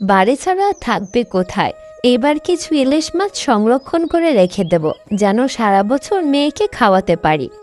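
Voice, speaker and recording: A woman playing a fisherman's caring wife and village mother in a cartoon, expressive and homely. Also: on the high side, moderate pace. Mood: reassuring. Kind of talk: Bengali moral-story cartoon dialogue.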